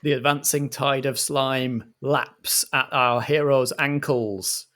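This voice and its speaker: Slow-paced male game master narrating. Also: medium pitch, fairly even intonation, bright, forceful tone. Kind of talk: dramatic storytelling narration.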